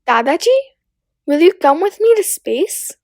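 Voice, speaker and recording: A woman voicing an excited, imaginative little girl who explains things eagerly in a children's storybook. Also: high voice, unhurried, no room sound, clean studio sound, full character voice. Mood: hopeful, fond. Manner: bright-eyed, eager explaining. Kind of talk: children's storybook read-aloud.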